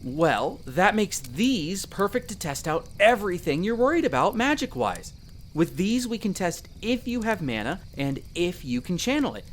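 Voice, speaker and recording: A performer voicing a young male elf explorer in a roleplay audio drama, patiently and gently coaching the listener as a magic teacher. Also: medium pitch, big pitch swings, normal speed, slight background noise, lightly acted. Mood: upbeat, instructive. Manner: gentle, reassuring, coaching step by step.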